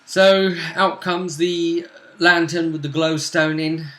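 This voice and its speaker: A man's voice: on the high side, fairly even intonation, slow, pushed and bright. A man with a British accent casually recapping a tabletop role-playing session as game master, explaining things conversationally. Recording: echoey room.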